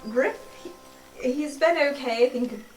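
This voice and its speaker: A woman voicing a dry, unimpressed student in an understated, hesitant, deadpan way. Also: sits high, slow pace, voice pushed up. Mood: hesitant, wry. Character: dry, awkward, unimpressed student named Mistake. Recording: roomy sound, music underneath.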